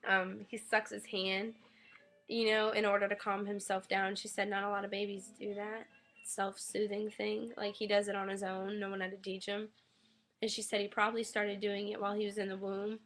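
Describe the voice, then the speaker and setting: A young woman recovering from an illness, her voice a little raspy, rambling casually about her newborn son with lots of ums. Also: mid-range voice, monotone, normal speed, fairly bright tone. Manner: rambling, many ums, coos baby talk to her infant. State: getting over an illness. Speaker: new mother vlogger. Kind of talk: casual webcam update. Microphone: webcam mic.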